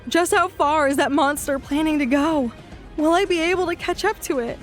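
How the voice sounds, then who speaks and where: Casting: very high, anxious young woman healer, urgent and strained, as a woman voices her, faint music underneath. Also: moderate pace, natural voice. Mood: worried. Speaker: Coniglio. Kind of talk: fan audio drama acting.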